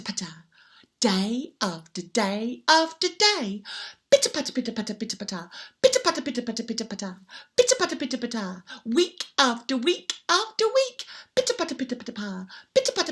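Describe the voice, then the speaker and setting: A woman telling a children's story in a theatrical, interactive way, with playful repeated sound words and drawn-out emphasis. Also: fairly high pitch, a bit bright. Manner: invites listeners to join in.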